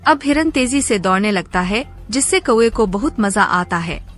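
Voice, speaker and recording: A woman's voice: medium pitch, expressive, normal speed, a bit bright, faint music behind. A woman narrator reading a scripted animal fable for children.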